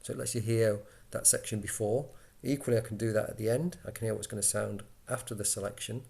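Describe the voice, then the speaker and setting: Low, slightly creaky man's tutorial voice. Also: steady tone, fast talker, a little mellow. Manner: explains step by step, conversational. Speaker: software tutorial instructor. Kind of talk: informal screen-recorded software walkthrough.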